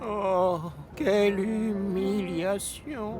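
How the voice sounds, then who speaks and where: A man's voice: high voice, level tone, extremely slow, over music. A man voicing a proud, pompous and blustering express locomotive in a French children's cartoon dub. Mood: grumbling, embarrassed. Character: proud, pompous big male express locomotive, easily humiliated. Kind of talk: children's animated series dub.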